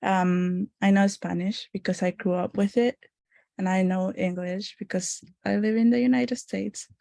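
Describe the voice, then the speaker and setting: Young woman, dark and gravelly, slow and even delivery, hesitant student presenter. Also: medium pitch, dry recording, studio quality. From Honduras. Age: young adult. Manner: hesitant, with fillers and small laughs. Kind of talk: class presentation.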